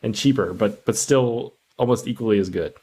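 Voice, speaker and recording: A man's voice: on the low side, normal speed, dark tone, slight background noise. A man guesting on a movie podcast, chatting casually with jokes and some swearing. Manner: joking, casually profane. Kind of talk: casual movie review chat.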